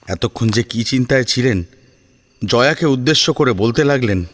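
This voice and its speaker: A man narrating a scripted family drama in an expressive, suspenseful storytelling manner. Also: medium pitch, moderate pace.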